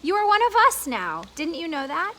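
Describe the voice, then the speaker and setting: A woman's voice: very high voice, very animated, normal speed, bright, forceful tone, pitched above the performer's own voice, exaggerated voice. A woman voicing a friendly, welcoming old male grasshopper the size of a dog in a children's story read aloud. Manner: welcoming, reassuring. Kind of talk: reading a children's book aloud.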